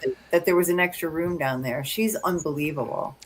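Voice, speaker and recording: A woman with a deep voice co-hosting a casual podcast, giving short encouraging replies. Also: a little fast, poor audio. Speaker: podcast co-host. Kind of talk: casual chat.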